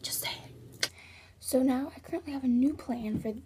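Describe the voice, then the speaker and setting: Teen girl vlogging on her phone, high voice, kinda hoarse, lots of um and like. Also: moderate pace, background noise. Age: teens. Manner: chatty, lots of fillers, upbeat. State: slightly hoarse, losing her voice. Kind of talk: casual vlog. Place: house, indoors and on a back deck. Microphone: phone camera at arm's length.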